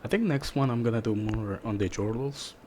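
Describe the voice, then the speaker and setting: A man's voice: medium pitch, brisk, warm tone, a little hiss or hum. A man hosting a reaction, talking in a casual, chatty style. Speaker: reaction host.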